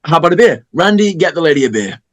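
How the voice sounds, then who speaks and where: A man playing a brash, cocky high school boy in a script read. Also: big pitch swings, fast. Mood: eager, cocky. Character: brash, cocky male American high school party host. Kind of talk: informal script table read.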